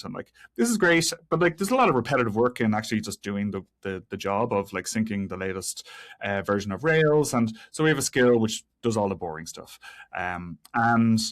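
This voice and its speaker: A man being interviewed on a podcast as an engineer, explaining technical work in a halting way with repeated words and many hedges. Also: fairly low pitch. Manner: halting, hedging with frequent 'like' and 'kinda', repeated words. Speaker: guest, senior software engineer.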